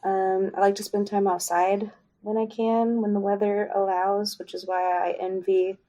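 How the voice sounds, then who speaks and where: A woman from Kansas co-hosting a book podcast, chatting casually with frequent pauses and ums. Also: medium pitch. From Kansas, USA. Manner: relaxed, unhurried with frequent ums. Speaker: podcast co-host. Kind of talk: casual chat.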